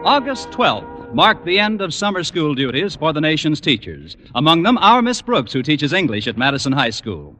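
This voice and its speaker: A man's voice: a little high, big pitch swings, moderate pace, hardly any pauses, sharp tone, faint music behind. A man announcing and narrating a scripted old-time radio comedy in a polished, declamatory manner. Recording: echoey old radio broadcast with faint noise.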